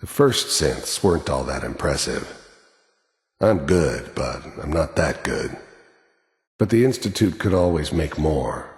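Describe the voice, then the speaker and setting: A hardened, world-weary mercenary from a video game. His voice sits extremely low and crackles with gravelly fry. He talks in plain, bitter, tough-guy phrases that trail off into a long, echoing reverb. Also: expressive, measured pace, exaggerated voice. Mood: bitter, reflective. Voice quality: deep gravelly voice. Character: weary, hardened middle-aged mercenary killer, bitter and cynical. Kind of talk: video game character monologue. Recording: echoey with long reverb tail.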